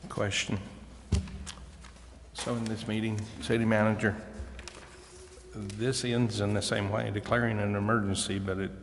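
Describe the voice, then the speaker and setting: A man's voice: on the low side, little pitch movement, unhurried, long pauses. A man questioning as a council member during a formal meeting. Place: council chamber. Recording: reverberant hall with faint noise.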